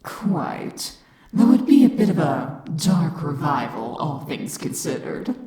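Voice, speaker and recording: A woman voicing a twisted female angel with a measured, wry, darkly polite manner in a scripted audio drama. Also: sits low, very animated, warm tone, exaggerated voice. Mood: wry, grateful. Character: slow, dry-humoured, twisted female angel. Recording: echoing room sound.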